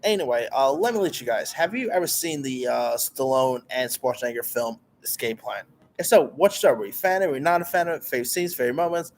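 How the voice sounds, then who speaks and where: Man, casual movie review, mid-pitched voice, rambling and enthusiastic, faint background hiss. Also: expressive, fast. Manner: rambling, lots of fillers and restarts, enthusiastic. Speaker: movie reviewer, channel host.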